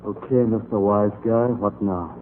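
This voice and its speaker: A lowish-voiced young thug played by a male actor is menacing, sarcastic and impatient. The 1940s crime drama sounds dull, with faint noise behind it. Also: fairly even intonation, measured pace, lightly acted. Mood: sarcastic menace. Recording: muffled old radio recording, faint noise.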